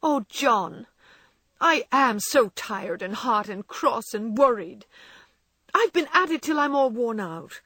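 A frantic young wife, close to tears, her breathy voice climbing high and wavering as she pleads, acted by a woman reading the story. The delivery is slow and strained, swinging widely in pitch, with a close, dry sound. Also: animated, dry recording. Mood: distraught. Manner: sobbing, pleading, high-strung. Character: distraught young wife, tearful and overwrought.

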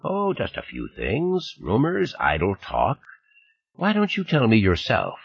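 An irritable old man, as a male narrator acts him out, husky and theatrical, his pitch swinging widely as he taunts. His phrasing is formal and his irony is heavy, in the manner of an audiobook character. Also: huge pitch swings, unhurried, dull audio, heavily acted. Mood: mock self-pity. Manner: ironic, taunting, rhetorical questions, formal unidiomatic phrasing.

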